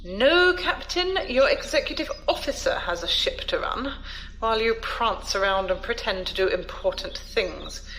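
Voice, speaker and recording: A woman playing an imperious old noblewoman emissary in an audio drama, haughty, deliberate and cutting. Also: fairly high pitch, animated, a bit bright, slight background noise, rough recording. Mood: haughty, displeased.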